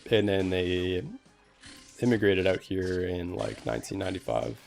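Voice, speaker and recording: A man's voice: sits low, lively intonation, normal speed, gravelly, darkish tone, faint music behind. A young man streaming a game, giving easygoing commentary full of "like" fillers and answering chat questions. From Canada. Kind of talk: casual gameplay commentary.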